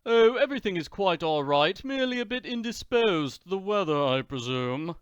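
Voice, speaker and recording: A man voicing a haughty scheming male aristocrat villain, pompous and stilted, in a crisp dry reading. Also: high-pitched, big pitch swings, normal speed, dry recording, muffled recording, voice pushed up. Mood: polite.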